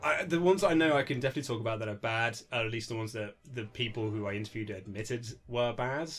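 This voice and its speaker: A man's voice: mid-range voice, quick pace, a bit bright. Chatty man telling anecdotes. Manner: chatty, anecdotal, acts out quotes, mild swearing. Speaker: interview guest, author and writer. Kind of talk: casual animated interview chat. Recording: lo-fi amateur setup, music underneath.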